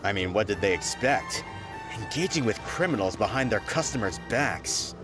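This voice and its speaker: A man's voice: fairly low pitch, lively intonation, normal speed, lightly acted. A man voicing a scornful, gossiping townsman in a video game. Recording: music behind the voice.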